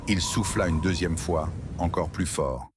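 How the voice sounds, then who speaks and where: A man narrating a scripted children's story as storyteller, in an expressive, dramatic way. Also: low-pitched, unhurried, background noise.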